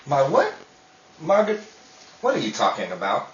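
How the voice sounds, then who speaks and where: A roomy recording with faint noise of an actor as a sarcastic, unromantic husband in a 1930s-style radio play. He is dry and exasperated, and his pitch moves about a lot. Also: wildly swooping pitch, moderate pace, fairly bright tone, recorded from a distance, muffled recording.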